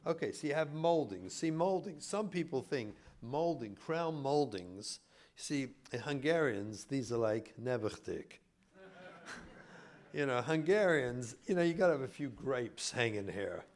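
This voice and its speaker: A man's voice: mid-range voice, animated, measured pace. A middle-aged man from England with an English accent, a rabbi giving a humorous talk to an audience in a community hall, telling stories with mock-indignant asides.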